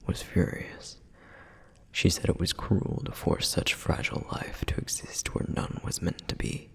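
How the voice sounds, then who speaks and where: A man whispering as a gravelly flame demon. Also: sits low, heavily acted. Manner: soft whispered storytelling. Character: duty-bound protective male flame demon guide. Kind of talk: ASMR roleplay.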